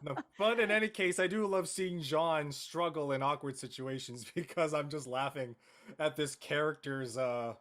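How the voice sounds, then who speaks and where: A man talking off the cuff as an actor in an interview, halting and searching for words. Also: a little high, a bit bright. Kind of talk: unscripted interview talk.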